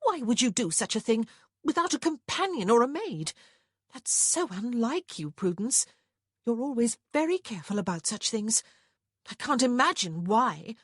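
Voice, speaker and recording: A woman's voice: a little high, sing-song, slow, breathy edge, no echo, studio quality, voice pushed up, exaggerated voice. A woman voicing a dramatic, fretful and protective married sister in a storybook, moaning and exclaiming. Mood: shocked, pleading.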